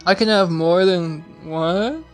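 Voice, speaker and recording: A man's voice: high-pitched, slow pace, soft background music. A young man giving casual gameplay commentary in a slangy, offhand way with some swearing. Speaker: gamer playing on camera or stream.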